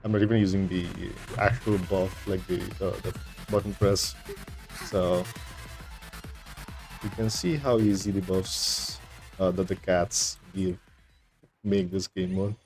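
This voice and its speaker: A man's voice: sits low, fairly even intonation, a little slow, long pauses, darkish tone. A man streaming games, giving casual, rambling, laid-back commentary on his play. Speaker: game streamer. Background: faint game music.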